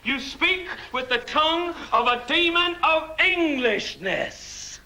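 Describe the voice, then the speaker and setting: American evangelist, theatrical stage preacher, bombastic, slow delivery, wildly varied pitch, music and crowd behind. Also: male voice, lively intonation, pushed and bright, slight background noise, full character voice. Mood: fervent. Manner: bombastic, chanting, dramatic. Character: fervent, money-hungry American evangelist preacher casting out demons.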